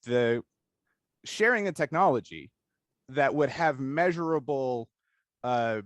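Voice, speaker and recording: A man hosting a casual discussion interview, talking informally and haltingly as he thinks out loud in rambling questions. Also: medium pitch, lively intonation, dry recording. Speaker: interview host.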